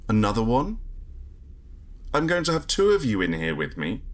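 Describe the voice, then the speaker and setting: A man playing a grumpy, sardonic space agent in a sci-fi audio drama, with a husky voice and a wry, grumbling manner. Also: mid-range voice, huge pitch swings, normal speed. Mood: alarmed, exasperated. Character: grumpy, trigger-happy male space agent, sardonic and exasperated. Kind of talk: first-person sci-fi audio drama narration and dialogue.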